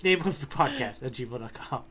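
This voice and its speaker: A man's voice: mid-range voice, expressive, moderate pace, crowd noise. A man co-hosting a podcast in casual comedic chat, wryly riffing on jokes as he goes. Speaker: podcast co-host.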